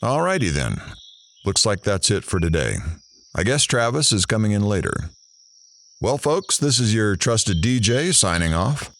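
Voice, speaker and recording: Man acting a deep, creaky, wry DJ narrator. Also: big pitch swings, moderate pace, a bit bright. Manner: confiding, amused. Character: wry, sneaky DJ narrator secretly watching the characters. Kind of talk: audio drama narration addressed to listeners.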